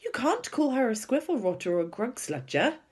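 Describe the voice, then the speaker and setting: A woman's voice: medium pitch, wildly swooping pitch, unhurried, husky, pitched above the performer's own voice, exaggerated voice. A woman voicing a young girl, a determined and impassioned storybook heroine who speaks emphatically. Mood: indignant. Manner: emphatic, exclamatory.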